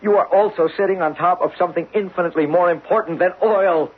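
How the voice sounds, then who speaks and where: An actor playing a desperate, obsessed geologist in an old radio drama, speaking with insistent intensity. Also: male voice, high-pitched, quick pace, breathy edge. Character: obsessed, desperate male geologist urging belief in his discovery. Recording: muffled, hissy old radio recording.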